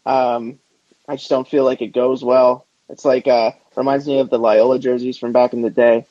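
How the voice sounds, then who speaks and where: A man's voice: medium pitch, level tone, moderate pace, mellow, no echo. A man co-hosting a casual sports podcast with slangy, rambling, opinionated banter. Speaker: podcast co-host. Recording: dull lo-fi close podcast audio.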